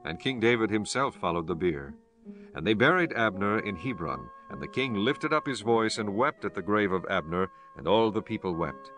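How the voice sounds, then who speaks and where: A man's voice: lowish voice, animated, normal speed, fairly bright tone. A man narrating a dramatized scripture audiobook in a scripted, formal reading style. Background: instrumental music.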